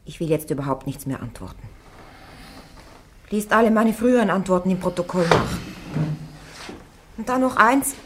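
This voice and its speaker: A woman's voice: fairly low pitch, expressive, normal speed, long pauses, a bit bright, a little hiss or hum. An actress playing a steadfast young woman on trial in a radio play, plain-spoken, resolute and earnest. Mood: cool, stubborn. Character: young Joan of Arc on trial, steadfast and defiant. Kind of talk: German radio play acting.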